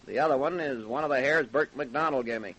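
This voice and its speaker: An actor playing a confident, blunt forensic investigator in an old-time radio drama, explaining assertively and impatiently. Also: male voice, mid-range voice, brisk, a bit bright, lightly acted, poor audio. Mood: assured, explanatory. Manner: assertive, matter-of-fact explaining with impatient jabs.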